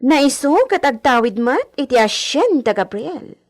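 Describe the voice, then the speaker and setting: A woman's voice: high voice, lively intonation, a little slow. A woman playing an imperious young heiress in a radio drama, with an Ilocano accent and a commanding, haughty manner peppered with Spanish words. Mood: proud, eager.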